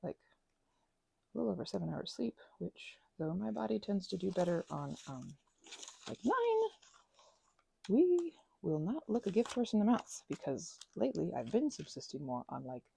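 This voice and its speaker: A woman in a rambling, soft-spoken ASMR craft chat, talking in a playful put-on Scottish accent and drifting between quiet talk and whispers. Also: mid-range voice, very animated, moderate pace, gravelly, slight background noise.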